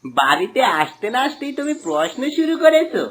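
A woman's voice: fairly high pitch, big pitch swings, fairly quick. A woman voicing a greedy, callous village husband in a cartoon, boastful and dismissive. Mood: smug, irritated.

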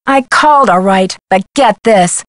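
A woman's voice: mid-range voice, measured pace, no echo, clean studio sound, lightly acted. An actress playing an earnest young woman detective in a video game. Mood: urgent.

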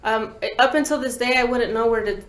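A woman's voice: on the high side, fairly quick. A woman in her thirties chatting casually as an interview guest, telling anecdotes in slangy language and acting out quoted dialogue. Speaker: guest musician, talk box player. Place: small podcast studio, indoors. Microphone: desk microphone. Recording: roomy studio echo.

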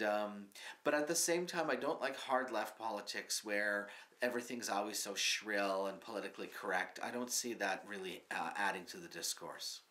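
A man in his fifties who answers earnestly and matter-of-factly, filling pauses with ums. His voice sits a little low but sounds bright and forward. He is seated in a small studio. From Canada. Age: 50s. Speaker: comedy writer, guest. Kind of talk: mock interview, plain answers. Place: small studio with curtain backdrop.

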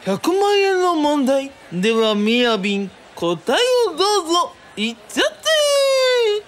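A woman playing a flamboyant, excitable male TV quiz host in a radio drama, theatrical, teasing and gushing. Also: sits high, measured pace, exaggerated voice. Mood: excited. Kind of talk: radio drama acting as quiz show emcee.

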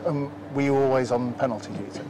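A man's voice: mid-range voice, quick pace. A man interviewing a footballer outdoors at a ground at night, asking friendly, hesitant questions. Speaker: club media interviewer. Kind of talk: post-match interview questions. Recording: outdoor ambience with clear background noise.

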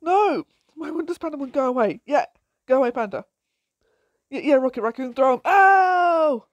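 A woman casually commenting on her own gameplay with a croaky voice, giggling and exclaiming often. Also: high-pitched, normal speed, dry recording, highs cut off. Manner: giggly, excitable, frequent laughs and exclamations.

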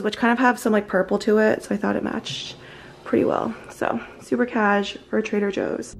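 A woman's voice: mid-range voice, a little hiss or hum. A woman in her twenties chatting casually to a handheld camera at home and in her car, rambling with lots of fillers. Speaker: lifestyle vlogger. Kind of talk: casual vlog chat to camera. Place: at home, then in a parked car. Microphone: handheld camera or phone at arm's length.

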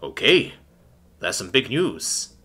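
A man's voice: fairly low pitch, sing-song, takes it slowly, sharp tone, slightly rough, soft background music, full character voice. A man doing a wry, dry-humored father. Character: middle-aged father with a bad leg, dry and wry.

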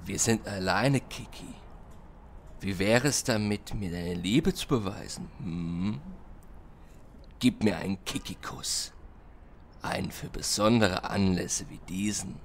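A man's voice: low voice, slow, a little hiss or hum. An actor playing a sly rival gangster and ladies' man in a German audio drama. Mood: smug, seductive. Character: smug, amorous mobster, sly rival gangster.

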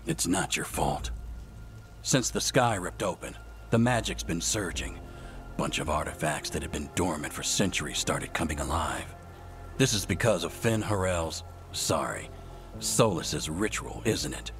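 A deep, gruff, wry veteran. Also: male voice, lively intonation, unhurried, fairly bright tone, soft background music, heavily acted. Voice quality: blunt, sardonic. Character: gruff veteran male magic expert, blunt and wry. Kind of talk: video game cutscene dialogue.

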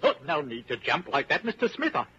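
An actor playing a nervous, chatty neighbour with a husky voice in a 1940s radio drama. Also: male voice, on the high side, huge pitch swings, brisk, bright, forceful tone, heavily acted. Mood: startled, apologetic. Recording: muffled old radio broadcast.

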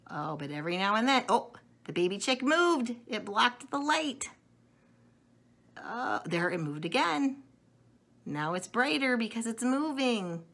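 Slow, creaky middle-aged woman talking to kids. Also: a little high. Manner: slow, animated, talking as to young children. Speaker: storyteller showing children books and eggs. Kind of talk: casual chat to children. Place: small room in a home.

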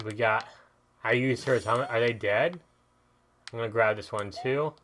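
A man's voice: mid-range voice, normal speed, fairly bright tone, slight background noise. A man giving casual gameplay commentary as a video host, chatting and thinking aloud as he plays. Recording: game music behind the voice. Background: video game music.

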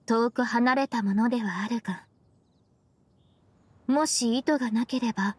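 A solemn, aloof young heroine from a fantasy game. Her voice is fairly high yet husky, and she speaks with reserved, literal earnestness. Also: a little slow, no echo. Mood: reflective. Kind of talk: restrained game voice acting.

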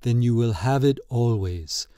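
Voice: mid-range voice, unhurried, mellow, no echo, studio quality, lightly acted. A storybook reader voicing a skeptical, dismissive young painter in a near-ordinary voice.

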